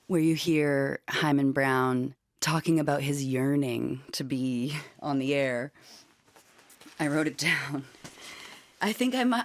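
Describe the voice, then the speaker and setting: Female interviewee, audio producer, deep for a woman, conversational storyteller, close dry sound. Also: no room sound.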